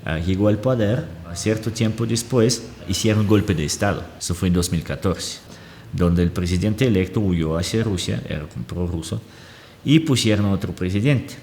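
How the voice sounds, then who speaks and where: Very deep-voiced man. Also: moderate pace, a little mellow, long reverb tail, slight background noise. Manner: searches for words, often checks in with a questioning "¿sí?". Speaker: guest analyst. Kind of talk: explanatory conversation.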